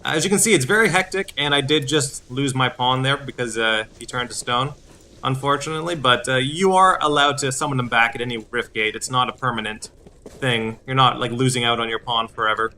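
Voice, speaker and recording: A man's voice: mid-range voice, fairly quick, sharp tone, slight background noise. A man giving explanatory video game commentary, with hesitant phrasing and repeated words. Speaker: gameplay host. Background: game music.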